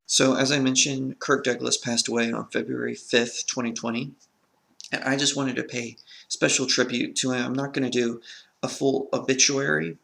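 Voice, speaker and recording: A man's voice: mid-range voice, vocal fry, a bit bright. A man with a crackly voice from a sore throat, talking as an earnest film review host in a casual vlog style.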